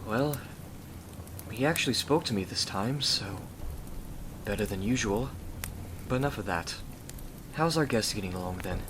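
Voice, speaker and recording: A gentle, devoted warrior gentleman played by a man speaks in a low voice. He is formal and earnest, full of courtly endearments, in an ASMR roleplay. The recording carries clear noise. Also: expressive, moderate pace, pauses often, natural voice. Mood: easygoing, pleased.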